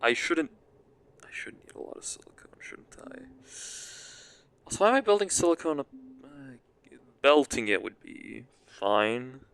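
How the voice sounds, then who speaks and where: Raspy young man thinking aloud. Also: medium pitch, big pitch swings, measured pace, fairly bright tone. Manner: rambling, self-mocking, thinks aloud. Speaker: gaming commentator. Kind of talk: casual gameplay commentary.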